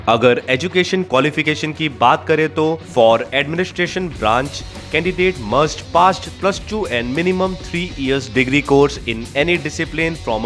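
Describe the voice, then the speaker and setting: A man with an Indian accent narrates a scripted educational explainer. His voice sits a little high for a male speaker, and he presents in an orderly, instructional way. Music plays behind his voice. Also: a bit bright. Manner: instructional, mixes Hindi with English terms. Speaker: host of an exam-preparation channel.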